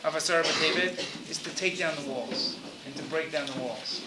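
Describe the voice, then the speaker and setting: A man's voice: fairly high pitch, moderate pace, reverberant, heavy background noise. A man giving an earnest, informal introduction to a guest speaker for students, with frequent fillers.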